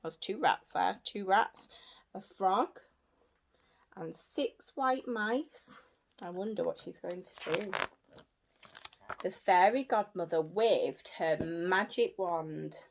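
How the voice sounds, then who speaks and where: A woman reading a story aloud for young children as a teacher, in an animated way with simple repeated phrases and asides to her listeners. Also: fairly low pitch, slow, vocal fry, a little hiss or hum. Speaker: early years teacher.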